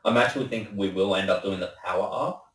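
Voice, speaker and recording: A man with a lowish voice hosts a casual interview, easygoing and a little self-deprecating. He sounds set back from the microphone, with plenty of room echo around him. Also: quick pace, fairly bright tone. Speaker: interviewer, host. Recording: roomy echo, distant microphone.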